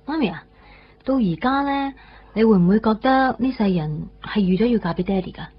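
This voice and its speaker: An actress playing a tender, wistful young woman in a radio drama, speaking softly and sincerely with a Hong Kong Cantonese accent. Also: a little high, lively intonation, a little fast, breathy edge. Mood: gentle, musing. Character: tender young woman, heart-transplant survivor, newly in love. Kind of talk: radio drama monologue and dialogue. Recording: slightly muffled, faint music underneath.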